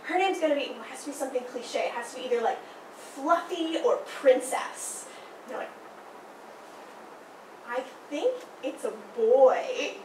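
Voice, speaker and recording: A young woman telling a personal story in a casual, animated way, acting out the people she quotes. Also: high-pitched, normal speed, long pauses. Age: young adult. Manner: animated, acts out quoted voices. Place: small indoor room by a theatre poster. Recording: roomy, distant, noisy.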